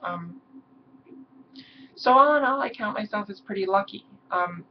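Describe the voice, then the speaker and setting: A hoarse young woman talking haltingly in a personal vlog, with many pauses and ums. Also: mid-range voice, expressive. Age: young adult. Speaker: vlogger. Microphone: camera mic. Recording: dull lo-fi mic with faint hiss.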